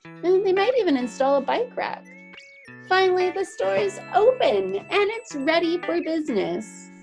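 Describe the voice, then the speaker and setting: Animated woman reading aloud to children. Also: very high voice, a little slow, over music. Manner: animated, encouraging, explains things simply to children. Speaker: children's teacher. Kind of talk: picture book read-aloud for young children.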